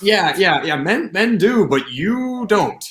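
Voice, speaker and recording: A man playing a bewildered, uneasy grown son in an amateur staged play reading, halting and repeating his words. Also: high-pitched, big pitch swings, fairly bright tone, full character voice. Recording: echoey, roomy sound.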